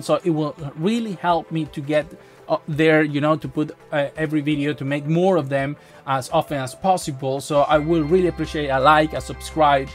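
Animated Spanish-accented man, high voice. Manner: animated, gestures a lot, halting restarts. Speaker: tech YouTuber. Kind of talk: casual talk to camera. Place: small home studio room. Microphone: boom mic near the face.

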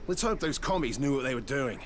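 A man's voice: medium pitch, lively intonation, brisk, a little hiss or hum, lightly acted. An actor playing a practical, wry male survivor engineer in video game cutscene dialogue.

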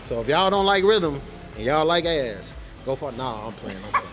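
A man's voice: medium pitch, sing-song, normal speed, over music. A man hosting a vlog, chatting casually in a laid-back, joking, slangy way. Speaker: vlog host. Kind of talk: casual chat.

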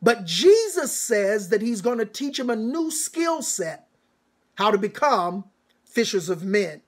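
A middle-aged man giving a sermon-like commencement address as a church elder, emphatic and deliberate, with repeated phrases and dramatic pauses. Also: sits high, very animated. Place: small home study, indoors.

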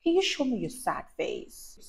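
A young woman reading a story aloud to a child, expressive and teacher-like, emphasising words and asking questions. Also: a little high, normal speed, gravelly. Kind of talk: animated read-aloud to a child. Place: small indoor room, on a sofa. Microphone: clip-on lapel mic.

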